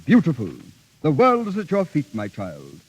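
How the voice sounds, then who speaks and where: An actor playing a florid, amorous veteran stage actor, declaiming theatrically in 1930s radio drama style. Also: male voice, on the high side, huge pitch swings, normal speed, breathy edge, lo-fi sound, exaggerated voice. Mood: amorous, grandiose. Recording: old 1930s radio recording.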